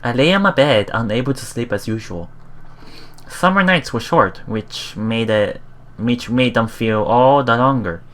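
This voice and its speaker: A let's play host reading a visual novel aloud in a halting way, with casual, musing commentary. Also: mid-range voice, animated, a bit bright. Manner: halting, musing, repeats phrases.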